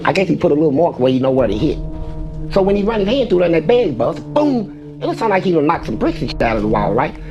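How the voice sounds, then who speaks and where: A man casually retelling a prison story in a profane, streetwise, animated way. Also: a little high, fast talker, highs cut off, music in the background. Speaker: former inmate storyteller.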